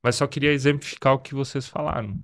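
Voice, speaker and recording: A man's voice: mid-range voice, fairly even intonation, fast talker. A man in his thirties telling a personal parenting story in a casual podcast chat, earnest and reflective. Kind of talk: casual conversation.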